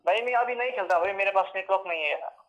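A man's voice: high-pitched, fast talker, a bit bright. A man from Sikkim in a casual, brusque phone conversation, repeating himself. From Sikkim, India. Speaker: prank call recipient. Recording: dull lo-fi phone speaker.

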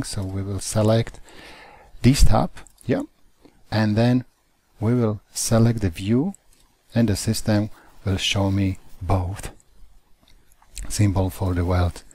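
A man who does not speak natively, teaching CAD software in a halting, careful way over a screen recording. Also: fairly low pitch. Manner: slow, halting phrasing with non-native grammar.

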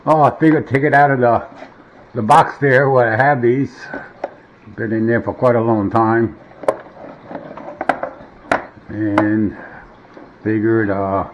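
A middle-aged man casually showing a gadget in a rambling, muttering way, recorded at a table at home. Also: fairly low pitch. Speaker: vintage gadget demonstrator. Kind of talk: casual hands-on demonstration. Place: indoors at a wooden table at home. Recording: dull, noisy home camera audio.

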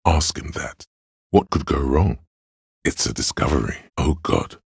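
An actor playing a cold, analytical inner voice of logic in a game, husky and gravelly, speaking in deliberate, clipped, instructive statements. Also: male voice, rumbling low, no room sound, studio quality, full character voice. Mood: probing. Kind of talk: dramatic second-person game narration.

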